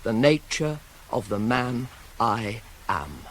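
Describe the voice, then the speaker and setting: An actor playing a proud, self-controlled young prince wronged by his father, in a formal, measured, declamatory classical tragedy style for radio. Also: medium pitch, very animated, slight background noise. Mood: solemn, pleading.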